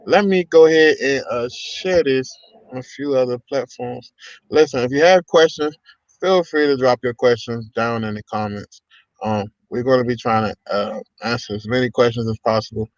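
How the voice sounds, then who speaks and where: A man's voice: mid-range voice, moderate pace, no echo. Upbeat male podcast host, dry dull sound. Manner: upbeat, hype-style welcomes with many fillers. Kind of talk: casual livestream podcast chat.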